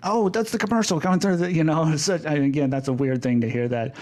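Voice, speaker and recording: A man's voice: fairly high pitch, fast talker, darkish tone. A man chatting casually as a podcast guest, appreciative and informal in how he talks. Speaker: podcast guest, film composer. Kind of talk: casual chat.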